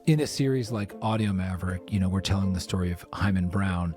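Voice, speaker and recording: A man with a husky voice speaking conversationally in an interview as a sound designer. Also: low-pitched, fairly even intonation, brisk, a little mellow.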